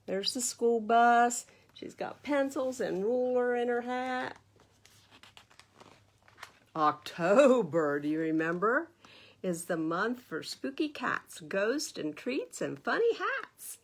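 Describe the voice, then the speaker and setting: Slow-paced American woman reading at storytime. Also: on the high side. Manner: animated teacherly asides, asking the listeners questions. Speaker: library storytime host reading a picture book. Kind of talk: read-aloud storytime for young children.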